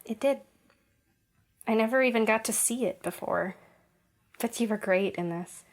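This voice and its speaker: A woman's voice: fairly high pitch, moderate pace, natural voice. A woman playing a wry, wounded young actress in an audio drama. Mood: tender.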